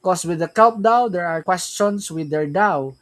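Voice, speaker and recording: A man with a Filipino accent and a voice pitched a little high hosts a casual livestream. He rambles loosely, filling his talk with "right?" and "yeah, man". Tagalog is his first language. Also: a little slow, fairly bright tone. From Philippines. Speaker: crypto livestream host.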